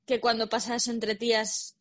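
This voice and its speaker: Fairly high-voiced young woman. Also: monotone, normal speed, a bit bright. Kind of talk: casual chat.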